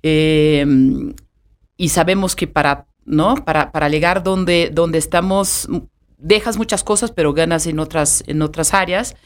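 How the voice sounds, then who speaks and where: A woman in her forties from Brazil, speaking Spanish with a Brazilian Portuguese accent as a podcast guest, talking in a halting way with many fillers and restarts. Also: sits low. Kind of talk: conversational podcast interview.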